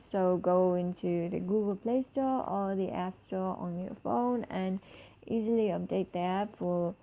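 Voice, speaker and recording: A woman hosting a step-by-step app tutorial, explaining in halting, explanatory phrases. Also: mid-range voice, creaky, warm tone. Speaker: tutorial host.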